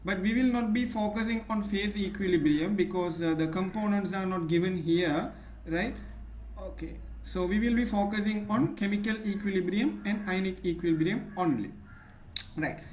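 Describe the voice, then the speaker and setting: Sri Lankan tutor in an echoey room. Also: male voice, high voice, normal speed, darkish tone. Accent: Sri Lankan English. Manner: patient, checks in with "right?" often. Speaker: chemistry tutor. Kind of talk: one-on-one tutoring. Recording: echoey room, faint noise.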